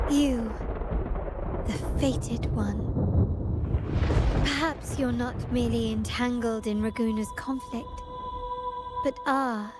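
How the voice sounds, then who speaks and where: Slow-speaking enigmatic elegant woman. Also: a little high. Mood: mysterious, teasing. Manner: measured, formal, deliberate, cryptic. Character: enigmatic, elegant young woman, knowing and composed. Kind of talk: scripted video game cutscene dialogue.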